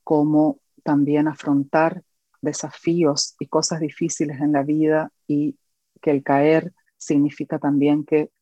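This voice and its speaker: A woman from Argentina with an Argentine accent, a guest educator and author speaking earnestly in an interview, pausing often with fillers. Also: low-pitched, warm tone, no room sound. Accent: Argentine Spanish. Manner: earnest, reflective, with many pauses and fillers.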